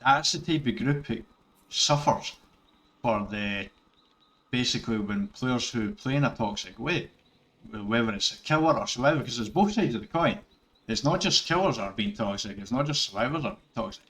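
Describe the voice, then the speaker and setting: A man's voice: mid-range voice, expressive, normal speed, a bit bright. A middle-aged Scottish man giving rambling casual commentary over gameplay, correcting himself and chuckling as he goes. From Scotland. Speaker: gaming YouTuber.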